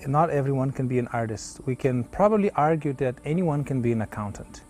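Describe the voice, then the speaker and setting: Middle-aged man, thoughtful, halting, mellow dark tone, outdoor interview. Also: mid-range voice, lively intonation, soft background music. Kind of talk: documentary interview. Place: outdoors on a lawn.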